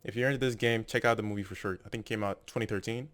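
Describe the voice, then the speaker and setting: A man's voice: fairly low pitch, steady tone, rapid-fire, a bit bright. A man giving casual, off-the-cuff commentary as he plays through a video game.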